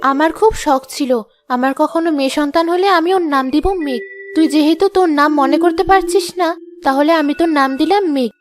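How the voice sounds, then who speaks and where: A woman's voice: high-pitched, brisk, faint music behind, close to an everyday voice. A woman playing a caring woodcutter's wife in a children's cartoon, nagging but motherly. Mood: tender, motherly.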